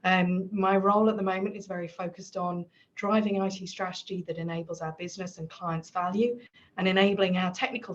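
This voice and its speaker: Flat, businesslike woman, muffled audio. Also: on the low side. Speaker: technology strategy executive, panelist. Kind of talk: self-introduction on a panel. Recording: dull, muffled remote audio.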